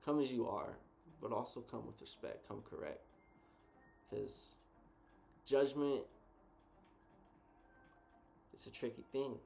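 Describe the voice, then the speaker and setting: A man co-hosting a casual reflective chat, thinking out loud in a laid-back, slangy way. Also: mid-range voice, takes it slowly, creaky, long pauses, faint music behind. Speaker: co-host.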